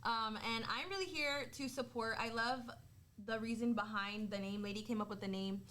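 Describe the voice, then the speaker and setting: A woman in her twenties chats as a podcast co-host. Her voice is slightly high and her delivery is informal and talkative. There is faint noise behind her. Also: steady tone, moderate pace, a bit bright. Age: 20s. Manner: informal, chatty. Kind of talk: casual podcast chat.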